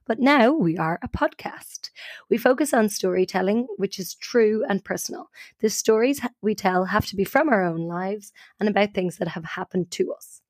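A woman's voice: mid-range voice, expressive, normal speed, no room sound. Irish woman podcast host, casual chat, dry close recording. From Ireland.

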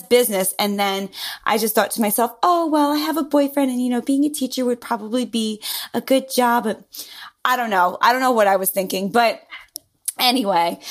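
A woman from New York with a New York accent, a fitness entrepreneur chatting as a podcast guest, laughing often between quick asides. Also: fairly high pitch. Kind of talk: casual interview talk.

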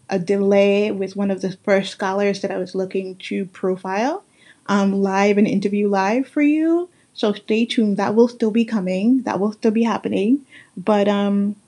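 An earnest Guyanese woman hosting her own podcast, talking straight to her listeners. She speaks at a middle pitch and leans hard on key words, stretching them out slowly for weight. Her tone stays personal and direct. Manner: earnest and emphatic, with slow deliberate stresses and warm laughs. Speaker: podcast host. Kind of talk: casual solo podcast monologue.

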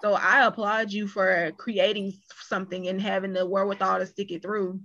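A woman's voice: medium pitch, flat delivery, normal speed, fairly bright tone, highs cut off. A woman talking as a guest in a casual chat, with an emphatic, opinionated way of speaking. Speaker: guest on a talk show.

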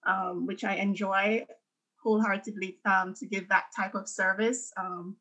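A woman's voice: medium pitch, little pitch movement, a little slow, sharp tone, low-quality recording. A woman in her thirties from Jamaica, a church podcast guest chatting casually about her life, with frequent ums and easy laughs. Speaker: interview guest, architect. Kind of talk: casual interview conversation.

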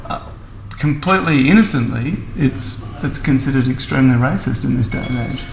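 A man's voice: mid-range voice, a little fast, creaky. An Australian man from Melbourne, co-hosting a casual chat, joking and laughing often. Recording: roomy, with faint background hiss.

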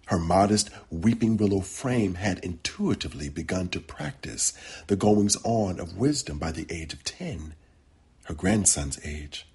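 A man speaking as a guest storyteller, with measured delivery. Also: sits low, animated, darkish tone, slightly rough.

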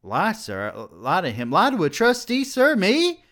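A man's voice: mid-range voice, big pitch swings, a little slow, a bit bright. A man voicing a nervous young male college student who stammers and pleads deferentially. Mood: incredulous.

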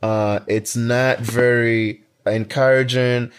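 A man's voice: medium pitch, level tone, slow, clear, resonant tone. A man in his twenties from Kenya, telling a personal story in casual conversation with many restarts. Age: 20s. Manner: storytelling, frequent restarts and fillers.

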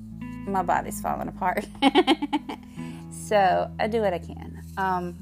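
Slow, gravelly Southern woman podcaster. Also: lowish voice. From Southern United States. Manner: scattered, candid, many fillers and pauses. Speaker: podcast host. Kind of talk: rambling solo podcast chat.

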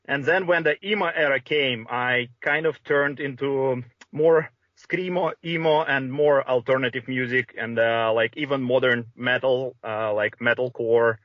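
A man's voice: mid-range voice, unhurried, few pauses, dry recording. Man from Russia, dry muffled voice. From Moscow, Russia. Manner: steady storytelling with fillers. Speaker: band guest. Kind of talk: casual interview chat.

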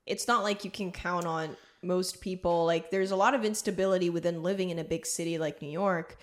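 Animated woman with a lilt. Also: fairly low pitch. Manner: animated, laughs easily, quick bursts. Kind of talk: casual conversation.

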